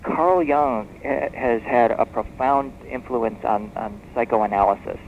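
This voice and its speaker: A man's voice: medium pitch, unhurried. Man on a muffled, noisy radio tape. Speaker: guest expert. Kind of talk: radio interview, explanatory storytelling. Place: radio broadcast interview.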